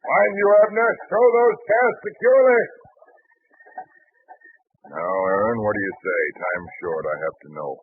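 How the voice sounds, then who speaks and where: A deep-voiced, impatient sea captain. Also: male voice, a little slow, pauses often, husky. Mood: shouting orders. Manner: commanding, pressing, businesslike. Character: brisk, impatient, confident male sea captain. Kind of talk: 1940s radio drama acting. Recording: muffled tinny 1940s radio broadcast, slightly distant.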